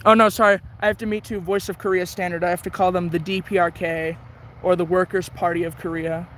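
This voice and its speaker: A man with a notably high voice gives casual, rambling hobbyist commentary. He thinks out loud in a chatty way as he works a radio dial. There is faint noise behind him. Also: little pitch movement, a little fast. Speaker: shortwave radio hobbyist host.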